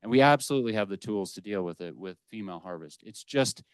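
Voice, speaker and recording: A man's voice: lowish voice, expressive, fast, smooth, no room sound. A man speaking as a wildlife official on a panel, explaining policy off the cuff in an assertive, emphatic way. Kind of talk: unscripted panel remarks, explanatory. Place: indoor public panel discussion at a library.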